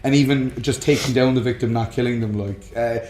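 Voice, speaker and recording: A man's voice: medium pitch, steady tone, brisk, darkish tone. A man co-hosting a casual, opinionated chat about games and films in an informal way. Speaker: podcast co-host. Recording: roomy echo, music underneath.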